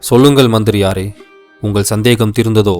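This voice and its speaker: A man playing a troubled, questioning king in an animated folktale, speaking formally and declaiming his words. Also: fairly low pitch, fairly quick, faint music behind, lightly acted. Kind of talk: animated moral story dialogue.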